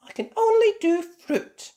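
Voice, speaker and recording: A woman doing a squeaky little lamb girl. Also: lively intonation, measured pace, warm tone. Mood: firm. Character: small bossy girl lamb.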